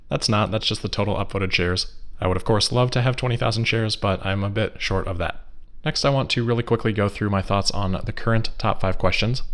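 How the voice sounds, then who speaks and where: A man hosting a solo investor news podcast, giving scripted commentary in a measured, analytical, explanatory way. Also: fairly low pitch, fairly bright tone.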